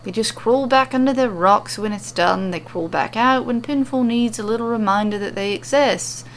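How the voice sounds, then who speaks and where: A woman's voice: fairly high pitch, moderate pace, a little hiss or hum, lightly acted. A woman voicing a cocky, world-weary female champion with a boastful, dispassionate manner in an audiobook-style fantasy story. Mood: weary, dismissive. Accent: attempted Australian.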